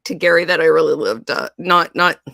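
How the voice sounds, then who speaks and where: Bright, gravelly woman chatting. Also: sits low, fairly quick, no room sound, clean studio sound. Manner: animated, laughs often, many fillers. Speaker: podcast co-host. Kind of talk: casual fan discussion.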